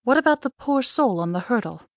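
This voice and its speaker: A woman's voice: on the high side, expressive, brisk, no room sound, clean studio sound. A woman playing a worried, hesitant, compassionate mother in an audiobook. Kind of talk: dramatized audiobook acting.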